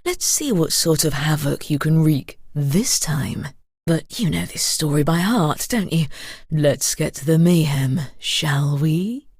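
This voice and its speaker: A woman's voice: low voice, lively intonation, slow, a little mellow, husky, no echo, clean studio sound, full character voice. A woman playing a sly murder mystery game narrator, speaking in a knowing, teasing, theatrical storyteller's manner. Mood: knowing, storytelling.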